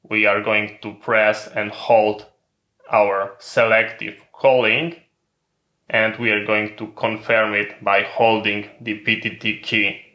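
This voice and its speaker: A man's voice: fairly low pitch, little pitch movement, slow pace, sharp tone. A man giving a methodical, step-by-step hands-on equipment tutorial in non-native English phrasing. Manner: methodical, instructional. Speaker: tutorial presenter. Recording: roomy, echoing sound.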